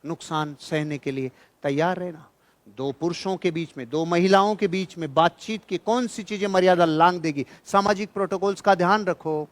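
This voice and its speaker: A man's voice: high voice, no echo. A man giving a motivational speech in an emphatic, admonishing manner, repeating phrases for effect. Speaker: motivational speaker. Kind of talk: motivational speech, preaching to an audience.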